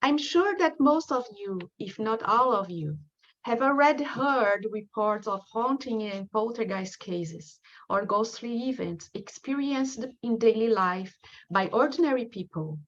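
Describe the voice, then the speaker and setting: A woman from Brazil with a strong Brazilian accent, a Portuguese speaker giving a prepared academic lecture in a careful, measured way with frequent ums. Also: fairly high pitch, animated, muffled recording. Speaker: guest lecturer.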